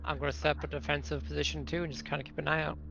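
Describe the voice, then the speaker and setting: A man's voice: on the high side, flat delivery, rapid-fire, gravelly. A man chatting casually as a player in a tabletop roleplaying game. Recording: dull lo-fi mic, faint music behind.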